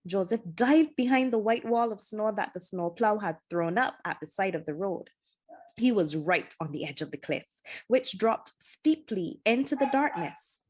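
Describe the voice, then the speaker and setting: A woman reading a children's adventure novel aloud as scripted narration, with expressive, dramatic storytelling. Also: medium pitch, normal speed, breathy edge. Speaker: storybook reader.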